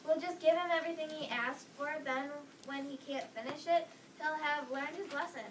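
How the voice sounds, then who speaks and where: Woman as proud folksy mom, reader's theater, high-pitched, distant and noisy. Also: steady tone, moderate pace, lightly acted. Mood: astonished, scheming. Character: proud, stern-minded folksy young mother. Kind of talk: reader's theater script reading. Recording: distant mic with clear background noise.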